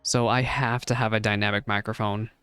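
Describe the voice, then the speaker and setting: A man's voice: fairly low pitch, little pitch movement, brisk, a bit bright, clear, resonant tone, faint music behind. A man streaming casual reaction commentary on a game into a dynamic microphone, chatty and often interrupting himself with asides.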